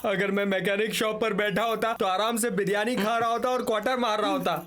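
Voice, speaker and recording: A man's voice: high-pitched, steady tone, a little fast, a bit bright. A man playing an exasperated, scolding sidekick who rants at his friend in a comic film scene. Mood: comic outrage. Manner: exasperated, ranting. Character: indignant, scolding working-class male sidekick blaming his friend.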